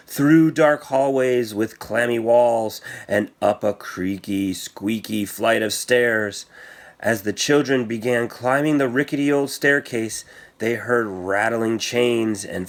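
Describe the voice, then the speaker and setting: A man reading a children's story aloud as scripted narration, with a theatrical, suspenseful delivery. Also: medium pitch. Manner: theatrical, suspenseful delivery with emphatic sound words. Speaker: storybook reader.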